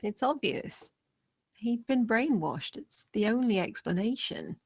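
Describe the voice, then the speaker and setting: A woman at a table read playing a calmly certain, consoling woman in a film drama. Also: a little high, unhurried, vocal fry, breathy edge, exaggerated voice. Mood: quietly convinced. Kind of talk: screenplay table read acting.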